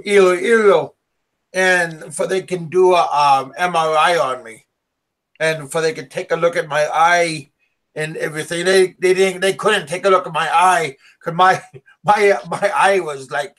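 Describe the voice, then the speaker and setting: A man recounting a story in casual chat, halting with many ums and restarts. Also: high-pitched, fairly bright tone. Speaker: guest.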